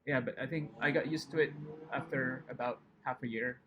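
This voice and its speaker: A man's voice: mid-range voice, steady tone, brisk, a bit bright, lo-fi sound, a little hiss or hum. A man from Indonesia whose first language is not English, answering interview questions in a hesitant, conversational way with many fillers. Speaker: chemist guest.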